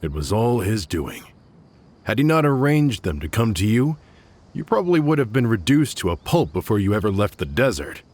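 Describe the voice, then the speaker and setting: A man's voice: fairly low pitch, big pitch swings, normal speed, darkish tone. A man voicing a bitter, vengeful male gang member in a video game, speaking bluntly.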